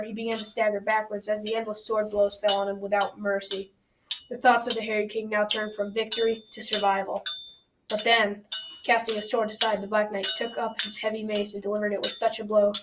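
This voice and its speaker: A woman's voice: medium pitch, level tone, fairly quick, lightly acted. A teenage girl narrating a folktale as scripted storytelling in an amateur school radio drama. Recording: noisy, distant from the mic.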